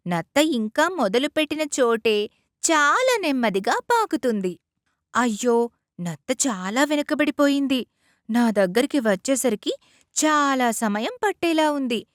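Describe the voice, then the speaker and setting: High-pitched, animated woman storyteller. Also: no echo, studio quality. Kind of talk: scripted children's moral-story narration.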